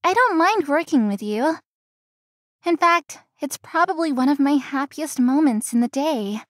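A woman playing a gentle, dutiful young daughter in a visual novel. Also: high voice, animated, a little slow, no echo, clean studio sound. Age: young adult.

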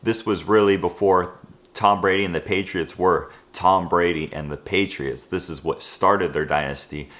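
A man's voice: deep voice, fairly even intonation, moderate pace, a bit bright. A man in his twenties tells a football underdog story to camera in a casual, conversational way, often saying "you know". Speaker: sports video host. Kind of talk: scripted sports storytelling to camera. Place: living room of an apartment.

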